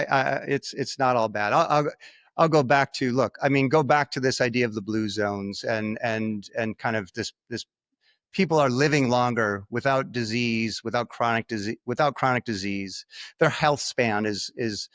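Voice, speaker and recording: An American man talking as an interview guest, haltingly repeating words for emphasis and often checking with a tag question. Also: medium pitch, a bit bright, no room sound, studio quality. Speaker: podcast guest, finance expert. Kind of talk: conversational interview talk.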